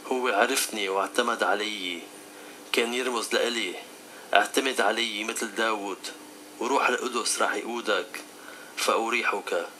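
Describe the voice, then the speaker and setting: A man's voice: on the low side, steady tone, moderate pace, pushed and bright, slight background noise, pitched below the performer's own voice. A man with a Levantine Arabic accent playing a stern, fatherly divine counsellor in a devotional skit, speaking solemnly and admonishingly. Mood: solemn, reassuring.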